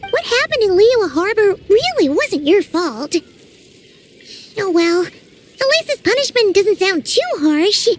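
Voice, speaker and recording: A woman voicing a small, cheerful, childlike fairy companion in a video game, with a squeaky voice and exclaiming manner. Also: sing-song, slow, slight background noise, exaggerated voice. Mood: sympathetic, curious. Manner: speaks of herself in third person, exclaiming. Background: music.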